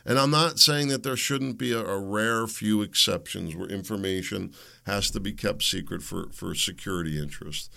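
Raspy, gravelly-voiced male commentator. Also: lowish voice, normal speed. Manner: impassioned, rising to loud rhetorical questions then rambling asides. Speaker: host. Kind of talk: solo political commentary.

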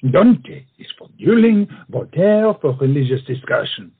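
A man's voice: a little high, sing-song, takes it slowly, husky, phone-quality sound, full character voice. An actor playing a proud, witty, self-conscious French swordsman-poet in a comic audio play, with florid, dramatic phrasing. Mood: proud, teasing. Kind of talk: theatrical comedy audio-play acting.

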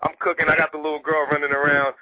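A man from Haiti, a rapper guest talking casually in a radio interview, animated and streetwise. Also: on the high side, dry recording. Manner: animated, streetwise, rapid talk with repeated "you know what I mean". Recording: tinny phone line, dry.

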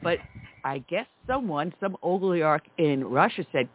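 A woman's voice: fairly low pitch, expressive, normal speed. A woman hosting a talk radio show, speaking emphatically and with indignation. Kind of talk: conservative talk radio chat. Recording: tinny phone line.

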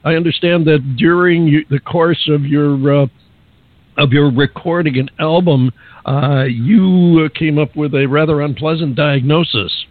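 A man hosting a radio show, interviewing a guest in a genial, halting way with many restarts. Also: on the high side, dry recording, narrow, tinny audio.

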